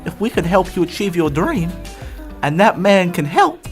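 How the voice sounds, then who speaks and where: A woman voicing a supportive, food-loving sheep in an audio story, expressive and easygoing. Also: on the low side, moderate pace, faint music behind. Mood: encouraging. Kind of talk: children's story character acting.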